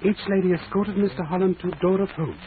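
A man's voice: high voice, steady tone, fast talker, natural voice. A man playing a formal, proverb-quoting old-radio detective. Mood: calm, formal. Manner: clipped, dropped articles, formal proverbs. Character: wise, polite middle-aged male detective. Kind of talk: 1940s radio acting. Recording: tinny hissy old radio recording.

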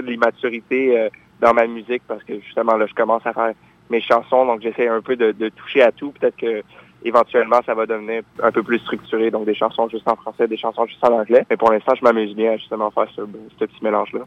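A young man, answering questions about his music. He halts often, fills pauses with "euh" and restarts his sentences. His voice sits at a middle pitch, and the recording sounds dull and lo-fi. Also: little pitch movement, no room sound. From France. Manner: hesitant, many fillers and restarts. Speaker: singer-songwriter guest. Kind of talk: casual interview answers.

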